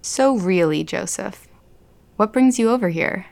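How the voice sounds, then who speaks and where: A woman's voice: mid-range voice, animated, unhurried, gravelly, darkish tone. An actress playing a guarded, direct woman in her late thirties in an audio drama, speaking dryly. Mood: guarded, questioning.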